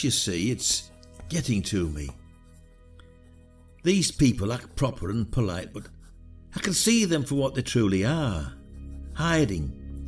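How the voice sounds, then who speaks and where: A British man acting a weary, self-doubting retired detective in an audio drama. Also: mid-range voice. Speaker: actor in a podcast drama.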